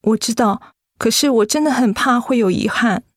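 An actress playing a devoted, tender and anxious young adult daughter in a Mandarin radio drama. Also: female voice, a little high, moderate pace, dry recording, studio quality, natural voice. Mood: anxious, sorrowful.

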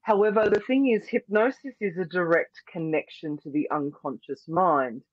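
A man's voice: high-pitched, moderate pace, dry recording. A man with a slightly raised voice explains his practice in long, flowing, earnest sentences. He leans on words like "beautiful" and "powerfully". The sound is dry and muffled, like a lo-fi remote call. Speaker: hypnosis and NLP practitioner, guest. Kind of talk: interview answers, explaining.

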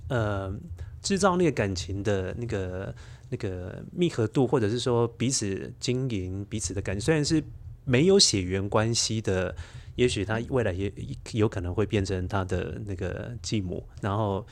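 A man's voice: on the low side, animated, normal speed. A middle-aged man with a Taiwanese accent, a storyteller speaking as an interview guest and sharing personal anecdotes in conversation.

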